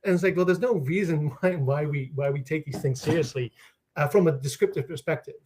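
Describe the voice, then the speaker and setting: A man from the Netherlands whose first language is Dutch, with a voice a little higher than most men's. He speaks as a guest scholar explaining ideas in conversation. He often restarts a phrase and checks in with a "right?". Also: brisk, darkish tone, husky.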